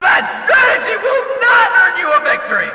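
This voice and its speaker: An actor playing a rowdy, sarcastic race announcer in a video game, calling hits in excited, mocking, exclamatory sports commentary. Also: male voice, very high voice, a little fast, heavy reverb, in a large hall, far from the mic, lo-fi sound, exaggerated voice. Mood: exasperated, scolding.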